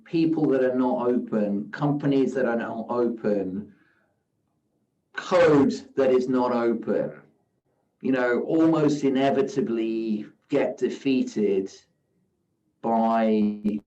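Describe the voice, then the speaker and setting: A middle-aged man from South Africa, a guest investor giving measured, reflective interview answers and often saying "you know", at a live event. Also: medium pitch, dark tone. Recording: roomy, distant, dull sound.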